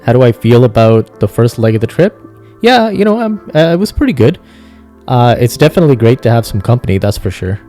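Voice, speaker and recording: A man hosting a gaming video in casual, easygoing chat, with a hesitant, halting delivery. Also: medium pitch, big pitch swings, warm tone. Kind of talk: casual roleplay chat while driving in a game. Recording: music behind the voice. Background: music.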